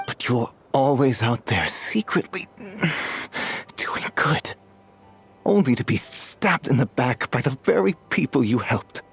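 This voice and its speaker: An actor playing a dying, destitute old thief in a video game, with a weak, breathy voice and a halting, resigned, wry manner. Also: male voice, medium pitch, very animated, a little hiss or hum. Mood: weary, wry. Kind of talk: dramatic video game voice acting.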